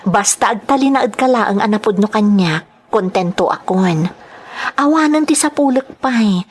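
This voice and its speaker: A woman's voice: mid-range voice, expressive, measured pace, husky. Outspoken woman in love, played by an actress, audio soap drama; emphatic, scolding, stretches phrases, mid-range voice. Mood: coy, insistent. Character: outspoken, lovestruck woman pleading with her man.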